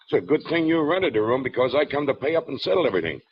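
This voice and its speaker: A man's voice: mid-range voice, very animated, racing, breathy edge. An actor playing a slangy, menacing gangster henchman in a 1940s radio drama. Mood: evasive. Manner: slangy, sneering tough-guy talk. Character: menacing male gangster henchman, smooth-talking. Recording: muffled 1940s radio broadcast.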